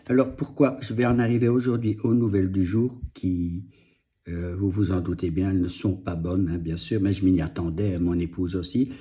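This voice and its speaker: Soft-spoken Dutch-native man, deep and mellow. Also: fairly even intonation, dull audio. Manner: slow, reflective, halting with restarts. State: moved, emotional. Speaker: vlogger and author. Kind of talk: personal video update.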